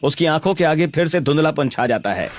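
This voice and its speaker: A man's voice: fairly high pitch, expressive, a little fast, a little hiss or hum. A man narrating a scripted story in a dramatic storytelling style.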